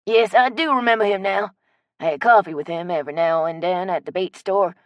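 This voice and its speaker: A woman voicing a friendly, generous old man with a loud, drawling way of talking in an audiobook. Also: mid-range voice, a little fast, no room sound, highs cut off, natural voice. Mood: folksy, hearty. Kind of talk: audiobook character voice.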